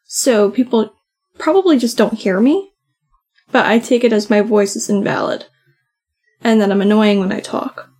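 A soft-voiced woman co-hosting a podcast, talking casually and often saying "like". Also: medium pitch, moderate pace, pauses often, slightly rough. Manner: chatty, many "like" fillers. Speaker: podcast co-host. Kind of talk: casual conversation.

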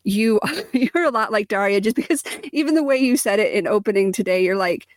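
A woman's voice: medium pitch, expressive, brisk, no room sound, studio quality. A woman in her forties co-hosting a podcast, speaking with lots of inflection in a casual, chatty way. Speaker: podcast co-host. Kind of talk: casual chat.

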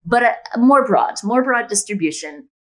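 A woman's voice: mid-range voice, expressive, normal speed. A woman speaking as an author guest in a casual interview, chatty and enthusiastic.

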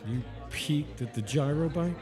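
A man's voice: fairly high pitch, unhurried, music in the background, crowd noise, lightly acted. A man playing an easygoing, affectionate dad with a playful manner in an audio drama. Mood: playful, fond.

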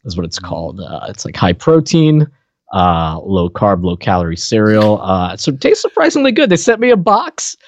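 A man co-hosting a podcast, chatting casually in a halting, laid-back way. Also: lowish voice, huge pitch swings. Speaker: podcast co-host. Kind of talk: casual chat. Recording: dull, dry lo-fi mic.

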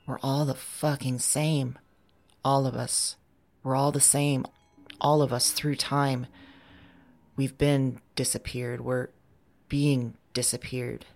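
A woman acting in an audio drama monologue as a weary, urgent woman, speaking in a halting, repetitive, intense way. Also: extremely low pitch, level tone, darkish tone, exaggerated voice. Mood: grave, intense. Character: weary, urgent woman speaking across time to other women. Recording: ambient music bed under the voice. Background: music.

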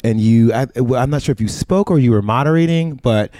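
A man hosting a casual podcast conversation, chatty and animated, with playful asides. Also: mid-range voice, brisk, no echo, studio quality. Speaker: podcast host.

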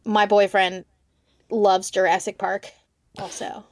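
A woman's voice: medium pitch, little pitch movement, slow pace, no echo, clean studio sound. A woman speaking as a podcast host and interviewer. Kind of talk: scripted podcast introduction, then casual interview chat.

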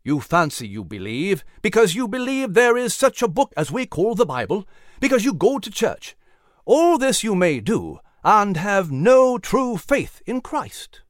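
A woman's voice: mid-range voice, sing-song, a little slow, no echo, studio quality. A woman playing a fervent male revival preacher in a historical story, with a solemn, imploring, oratorical delivery. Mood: earnest, admonishing.

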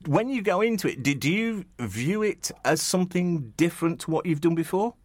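A man's voice: on the high side, animated, fairly quick, darkish tone, dry recording. A man hosting a casual radio interview, chatting in a rambling, conversational way and often stumbling over his words. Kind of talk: casual chat.

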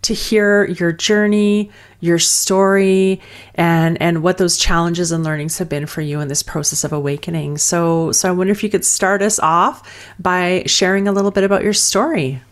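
Gentle, affirming woman host. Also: on the low side, steady tone, normal speed. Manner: warm, affirming, gentle backchannels. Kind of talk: hosting an interview.

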